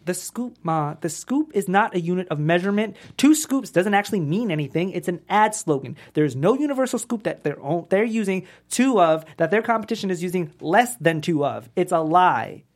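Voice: mid-range voice, expressive, a little fast, few pauses. An actor playing an exasperated, sarcastic down-on-his-luck working man, argumentative, at a comedy table read.

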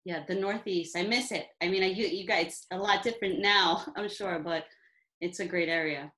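A woman hosting a podcast, asking questions in a casual interview with quick, friendly reactions. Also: fairly low pitch, fairly even intonation, sharp tone. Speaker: podcast host.

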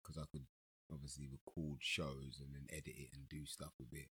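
A man's voice: a bass voice, level tone, brisk, a bit bright, breathy edge. A man, a podcast guest joking with old friends in a laid-back way. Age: 30s. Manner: laid-back, jokey banter. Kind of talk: casual chat among old friends.

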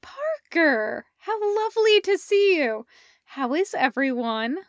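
A woman's voice: sits high, very animated, slow pace, no echo, clean studio sound, pitched above the performer's own voice, exaggerated voice. A woman voicing a gracious, affectionate, fashion-savvy woman friend in an effusive, lilting, refined way for a story. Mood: delighted.

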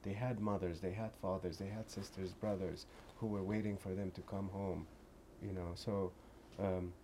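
A man in his forties from Afghanistan, speaking as an interview guest in an earnest, halting way with many restarts. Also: sits low, level tone, warm tone, a little hiss or hum. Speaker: shop owner, guest. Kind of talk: interview conversation.

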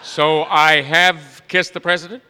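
An actor playing a bumbling, tender, apologetic wizard with a hesitant, stammering delivery in comic radio theatre. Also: male voice, on the high side, level tone, unhurried, sharp tone, slight background noise. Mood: flustered. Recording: hall reverb, music behind, live stage.

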